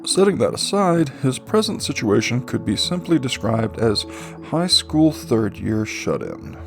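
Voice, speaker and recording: Gravelly, expressive male audiobook narrator. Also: medium pitch, a little slow, over music. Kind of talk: scripted narration of a fantasy light novel.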